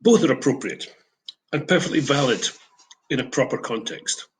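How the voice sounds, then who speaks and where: Older Scottish man, reflective and measured. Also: mid-range voice, animated, slight background noise. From Scotland. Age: 70s. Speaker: English teacher. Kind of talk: reflective prepared talk.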